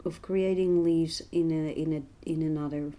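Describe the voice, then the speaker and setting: A woman with a slightly hoarse voice, talking gently and unhurriedly as she narrates a painting tutorial, sometimes dropping to a near whisper. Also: low-pitched, fairly even intonation. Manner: soft-spoken, unhurried, drifting into quiet near-whispers. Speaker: art tutorial host and voice teacher.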